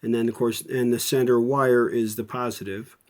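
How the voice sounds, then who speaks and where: A man with a mellow, relaxed voice at an average pitch talks through a hands-on repair. He explains each step in a folksy, unscripted way, as a restorer showing his work. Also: normal speed. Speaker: radio restorer giving a repair video.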